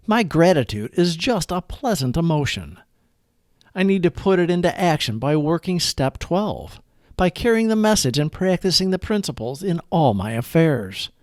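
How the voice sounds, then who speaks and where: A man reading a daily recovery meditation aloud in an earnest, measured manner. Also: a little high, no room sound, studio quality.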